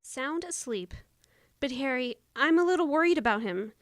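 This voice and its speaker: A woman's voice: sits high, lively intonation, normal speed, no echo, studio quality. A woman playing an anxious, placating young wife and mother in an audio drama, hesitant and soothing, on a noisy amateur recording. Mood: worried.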